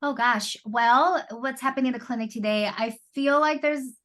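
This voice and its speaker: A woman's voice: a little high, normal speed, fairly bright tone. Chatty middle-aged American woman. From Southern California, born in Taiwan. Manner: chatty, peppers talk with "you know". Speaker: fertility doctor, interview guest. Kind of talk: conversational interview answers.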